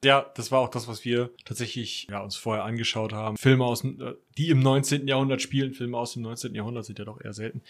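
A man's voice: mid-range voice, fairly even intonation, fast talker, hardly any pauses. A man talking casually as a podcast guest about making audio dramas. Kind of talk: casual interview talk.

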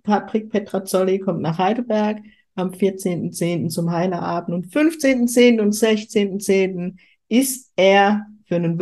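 A woman's voice: lowish voice, darkish tone. A woman from southwest Germany hosting a podcast, chatting spontaneously in an effusive, exclamatory way. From Kurpfalz, southwest Germany. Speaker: podcast host, spiritual medium. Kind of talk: casual, spontaneous podcast chat.

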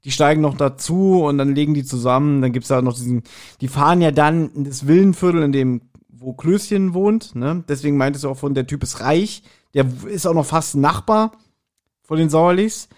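A man co-hosting a podcast, chatting casually and retelling stories in a colloquial, somewhat halting way. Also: a little high, a little mellow. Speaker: podcast co-host. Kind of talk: casual podcast chat, reviewing audio dramas.

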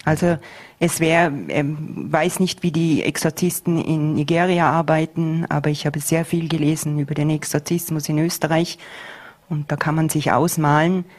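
A woman's voice: sits low, steady tone, a little mellow. A middle-aged woman, a school head answering interview questions earnestly, with frequent hesitations. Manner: earnest, measured, with many hesitations.

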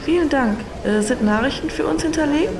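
A woman's voice: high voice, brisk. An actress playing a tired, polite and sensible woman traveller in an audio drama. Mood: polite, practical. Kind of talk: audio drama acting, conversational. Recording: clear ambient noise behind the voice.

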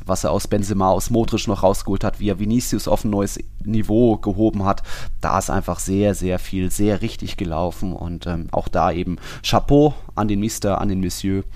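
A man's voice: fairly low pitch, darkish tone, dry recording. A man co-hosting a football podcast, talking casually in long, run-on analytical stretches. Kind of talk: casual sports podcast discussion.

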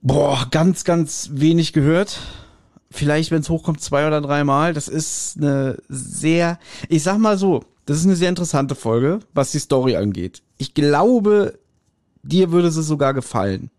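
Male podcast co-host, fairly high register, informal and joking chat, very dry room sound. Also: lively intonation, measured pace, a little mellow, dry recording. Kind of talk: casual chat, reviewing an audio drama episode.

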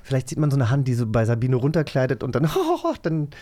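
A man's voice: mid-range voice, brisk, dark tone. A man co-hosting a podcast in casual, joking chat, teasing and often feeling his way through sentences. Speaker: podcast co-host.

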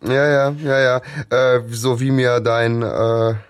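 A man's voice: mid-range voice, flat delivery, slow pace, smooth. A man co-hosting a fan podcast, explaining a story's plot step by step in casual discussion. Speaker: podcast co-host.

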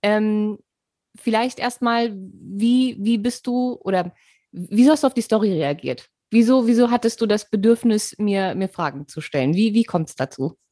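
Animated woman host, dry close sound. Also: medium pitch, normal speed, no room sound. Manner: animated, stumbling over repeated words. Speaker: podcast host. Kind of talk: casual podcast conversation.

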